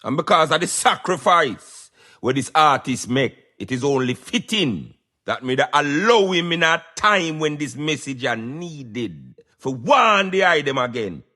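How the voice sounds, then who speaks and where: A man's voice: on the high side, sing-song, unhurried, bright, forceful tone. A Jamaican man hosting a talk radio show, giving emphatic, preaching commentary in Patois.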